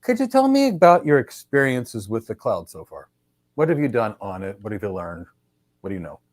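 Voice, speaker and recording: A man's voice: on the low side, very animated, brisk, a little mellow, no room sound. A man conducting a mock job interview, asking questions in an upbeat, encouraging way.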